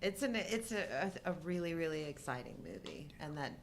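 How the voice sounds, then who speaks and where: Middle-aged female co-host, lowish voice, relaxed film chat, slight background noise. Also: fairly quick. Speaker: co-host of a live show. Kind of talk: casual chat about films.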